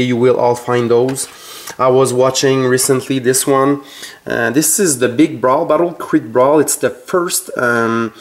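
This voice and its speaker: A man with a French Canadian accent, his first language French, showing his collection in his home basement and talking haltingly with many fillers and self-corrections. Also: medium pitch. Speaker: collector vlogger. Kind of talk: casual collection tour. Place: home basement full of shelves.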